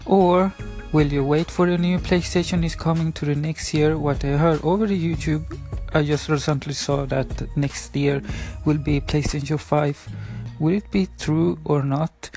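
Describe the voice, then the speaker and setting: A man's voice: fairly high pitch, fairly even intonation, measured pace, few pauses, darkish tone, soft background music. A man in his twenties from Sweden, with a Swedish accent in English and Spanish as his first language, hosting a casual solo podcast, hesitant with many fillers and apologies. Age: 20s. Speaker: podcast host.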